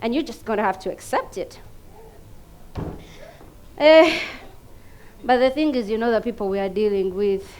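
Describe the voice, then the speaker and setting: High-voiced Ugandan woman performing dramatically. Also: normal speed, pauses often, slight background noise. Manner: emphatic, dramatic delivery with big swings between hushed and loud. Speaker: competition contestant performing a monologue. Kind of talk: theatrical comedic stage monologue.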